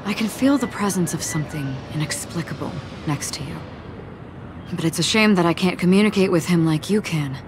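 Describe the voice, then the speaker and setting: A woman's voice: on the low side, natural voice. A woman playing a courteous, composed and mysterious lady with formal phrasing in a video game. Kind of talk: video game dialogue acting.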